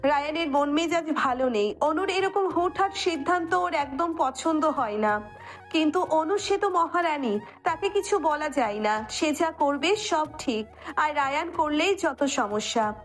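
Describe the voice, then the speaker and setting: Female story narrator, high-pitched voice, scripted delivery, light music bed. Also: lively intonation, unhurried.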